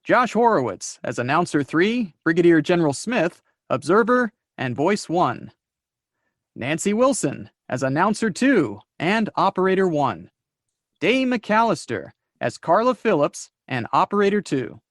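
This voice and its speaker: Brief: man reading credits, high and animated, dry close mic. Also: a little slow, no room sound. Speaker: credits reader.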